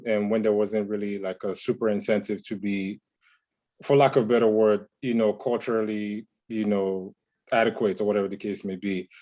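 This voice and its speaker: A man from Nigeria, a comics creator speaking on a panel, measured with many fillers. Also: fairly low pitch, a little mellow. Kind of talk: panel talk on a video call.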